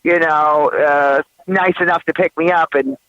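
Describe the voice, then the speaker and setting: A woman co-host calling in by phone, telling a tall tale in a wry, deadpan way with lots of fillers. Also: sits low, measured pace, dry recording. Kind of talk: casual joking radio chat. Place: family vacation home, by phone. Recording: muffled phone-call audio.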